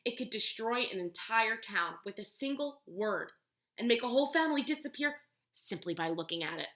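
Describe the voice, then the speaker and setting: A woman voicing a kindly old male storyteller who speaks slowly and gravely, in an echoey room. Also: a little high, animated, fairly bright tone. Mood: solemn.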